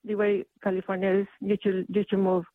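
A woman from El Salvador, calling in to a radio talk show. She speaks in a halting, indignant way. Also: medium pitch, monotone, dry recording. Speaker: caller. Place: calling in by phone. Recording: tinny phone line.

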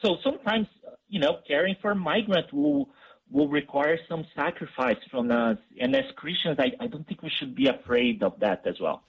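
Guest expert: an earnest man with a husky voice, halting, on a dull line. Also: fairly high pitch, sing-song. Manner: earnest, halting, many restarts. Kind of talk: radio interview.

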